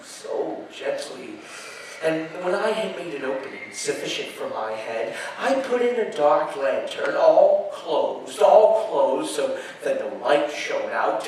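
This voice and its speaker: A male stage actor playing a nervous, gloating murderer in a theatrical gothic horror monologue, halting and stammering as he insists he is sane. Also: mid-range voice. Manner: halting, stammering, drawn-out phrases with sudden emphasis. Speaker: stage actor performing a dramatic reading. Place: live performance space at an amusement park show. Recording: echoey live show, distant mic, faint noise.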